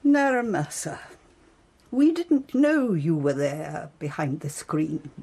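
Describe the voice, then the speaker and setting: An Empress's devoted lady-in-waiting in a radio drama. She is formal, guarded and anxious, with a creaky voice that is soft-toned and mellow. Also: deep voice, slow, full character voice. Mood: surprised.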